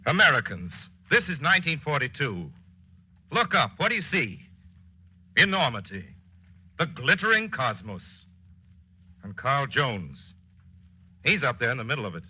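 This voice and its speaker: An actor narrating a solemn, oratorical patriotic radio drama in 1940s style. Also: male voice, medium pitch, very animated, unhurried, pauses often, pushed and bright, slightly rough, full character voice. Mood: urgent, stirring. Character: solemn, stirring male narrator of wartime America. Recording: noisy old 1940s radio recording.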